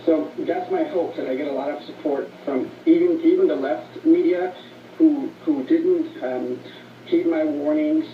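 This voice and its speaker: A man with a slightly high voice speaks earnestly as a guest on a radio show. He sounds distant and roomy through a dulled shortwave broadcast. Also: a little slow, phone-quality sound, a little hiss or hum. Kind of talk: radio interview.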